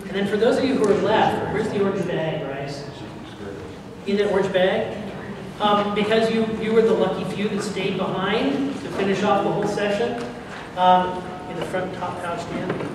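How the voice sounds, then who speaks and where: A man's voice: high-pitched, moderate pace, crowd noise. A man hosting an informal question-and-answer panel at a convention. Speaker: audio drama producer and panel host. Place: large indoor convention room with audience. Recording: echoey crowded hall, distant camera mic.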